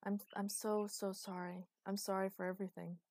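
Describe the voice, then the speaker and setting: A woman's voice: mid-range voice, level tone, moderate pace, darkish tone, no echo, natural voice. A woman voicing a despairing, self-loathing male lover in a fantasy audiobook, apologetic and pleading. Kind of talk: audiobook character acting.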